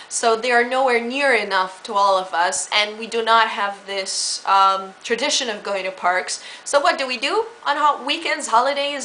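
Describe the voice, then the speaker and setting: Bright-voiced woman, chatty. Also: fairly high pitch, slight background noise, low-quality recording. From Brazil. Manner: chatty, explanatory, with fillers and restarts. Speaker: speaker explaining her home country. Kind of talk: casual spoken talk.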